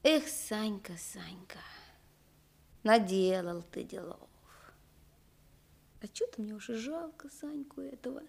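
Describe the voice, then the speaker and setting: An actress playing a soft-hearted little village boy in a radio play, whiny and pleading. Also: medium pitch, expressive, slow, creaky, pauses often, slightly rough, heavily acted.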